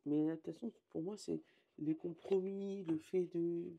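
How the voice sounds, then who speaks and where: A hoarse woman, casually chatting and reading oracle card meanings aloud, often correcting herself. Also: lowish voice, steady tone, unhurried, mellow, slight background noise. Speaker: tarot and oracle card reader.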